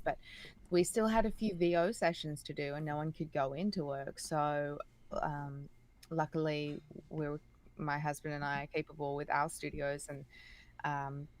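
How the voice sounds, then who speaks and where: Low-voiced Australian woman, eager and chatty. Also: fairly even intonation, moderate pace. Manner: enthusiastic, chatty, searching for words. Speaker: guest. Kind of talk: casual interview chat.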